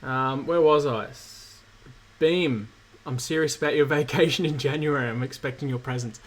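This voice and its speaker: Croaky man chatting on stream. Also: medium pitch, moderate pace. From Australia. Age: 30s. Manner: relaxed and rambling, many fillers. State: croaky, recovering from illness. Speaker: cybersecurity streamer host. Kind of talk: live-stream Q&A, reading chat questions.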